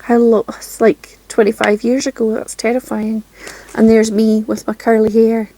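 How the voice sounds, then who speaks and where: Chatty Scottish woman with creaky voice. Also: on the high side, completely flat delivery, moderate pace, a little hiss or hum, low-quality recording. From Scotland. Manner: chatty, self-deprecating, with frequent ums and soft laughs. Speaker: craft channel host. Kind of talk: casual chat to camera. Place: home craft room.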